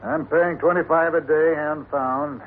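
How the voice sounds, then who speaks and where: Man as a high-voiced, curt railroad agent. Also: fairly even intonation, unhurried, lightly acted. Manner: curt, matter-of-fact. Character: curt, businesslike male railroad agent hiring guns. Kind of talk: 1950s western radio acting. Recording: tinny, noisy old radio recording.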